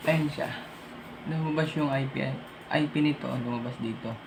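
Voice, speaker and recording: A Filipino man presents a casual, hands-on tutorial in a mid-pitched, creaky voice. He thinks aloud through each step informally. The amateur recording has clear background noise. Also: normal speed. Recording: noisy amateur room recording.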